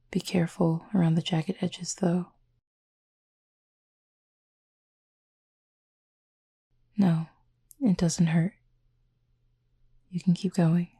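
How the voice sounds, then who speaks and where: Woman playing a low, breathy, gentle cupid roommate. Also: full character voice. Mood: tender, softly teasing. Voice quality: soft, breathy, husky. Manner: measured, formal wording, calm and reassuring. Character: gentle, composed horned cupid roommate, tenderly teasing. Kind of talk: intimate roleplay audio, soft-spoken.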